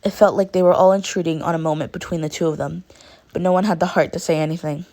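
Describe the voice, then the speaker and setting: Lower-pitched woman reading a story. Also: steady tone, fast, dark tone. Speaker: fan fiction narrator. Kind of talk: expressive amateur story narration.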